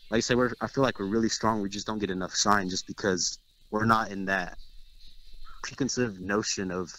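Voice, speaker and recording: A man's voice: lowish voice, steady tone, moderate pace, a bit bright, low-quality recording. A young man from Texas, a guest player in a casual interview, talking brash and cocky with plenty of swearing.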